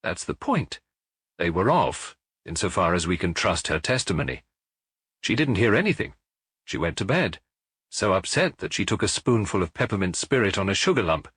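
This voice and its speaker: A man reading an audiobook, voicing a middle-aged male police officer who gives his report in a measured, matter-of-fact way. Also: low voice, very animated, a bit bright, breathy edge, no echo, clean studio sound, voice pushed down, heavily acted. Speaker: audiobook reader.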